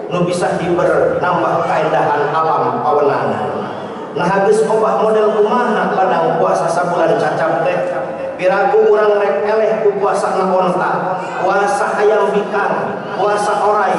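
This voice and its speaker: A middle-aged Sundanese-accented preacher with a high voice gives a rhythmic, measured Eid sermon. He stands at a lectern mic in a large mosque. He is picked up from a distance, with long reverb and a murmuring congregation behind him. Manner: measured, rhythmic oratory with Arabic phrases. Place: large mosque hall full of worshippers. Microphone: stand mic at a lectern, filmed from far. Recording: echoey mosque hall from far off. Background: crowd of worshippers.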